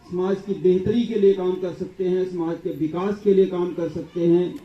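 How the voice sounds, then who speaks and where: A man delivering a political speech at a public gathering, building his points through repeated lists. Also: high voice. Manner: rhetorical, repetitive lists. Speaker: political speaker. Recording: echoey, distant, dull sound.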